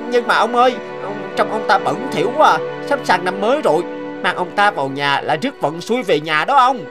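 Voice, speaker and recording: A big, gruff cartoon servant who grumbles with scorn. His voice is pitched high and swoops about over clear music. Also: expressive, a little fast. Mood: complaining. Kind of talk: cartoon dubbing.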